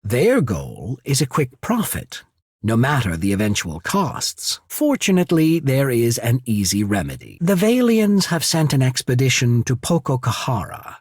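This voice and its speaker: A man voicing a shrewd, courteous trading company secretary in a video game, speaking formally and diplomatically with subtle disdain and a husky voice. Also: medium pitch, wildly swooping pitch, moderate pace, a little mellow, no echo, clean studio sound. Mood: persuasive, measured. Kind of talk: video game character voice acting.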